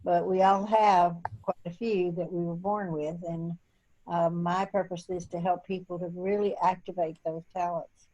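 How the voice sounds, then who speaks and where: A woman in her eighties on a video call, chatting casually and meandering through her thoughts with frequent pauses. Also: lowish voice, flat delivery, mellow, lo-fi sound. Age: 80s. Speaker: call participant.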